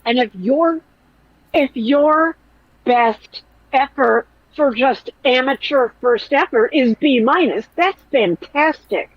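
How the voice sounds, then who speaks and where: Woman podcast host, nasal and whiny, foul-mouthed and animated; dry, muffled lo-fi sound. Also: high-pitched, takes it slowly, no room sound. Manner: blunt, profane, animated. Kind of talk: casual commentary chat.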